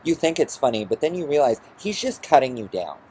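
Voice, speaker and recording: A man's voice: mid-range voice, sing-song, brisk, warm tone, slight background noise, pitched above the performer's own voice, close to an everyday voice, poor audio. A man voicing a dramatic, defensive middle-aged mother with a whiny, overwrought delivery in a vlog story. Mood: cutting.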